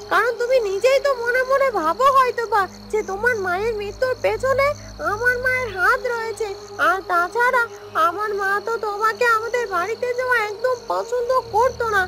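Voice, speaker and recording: A woman's voice: very high voice, heavy background noise. A woman playing a stern, vengeful young woman in a cartoon story, confronting someone with emphatic accusations. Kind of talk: Bengali cartoon story dialogue.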